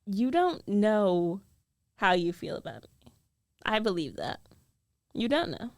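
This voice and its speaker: A woman playing a jealous, lovestruck young female roommate in an intimate ASMR roleplay, guarded yet tender, speaking with hesitant, emotional pauses. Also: mid-range voice, very animated, a little mellow, no echo, clean studio sound. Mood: upset, guarded.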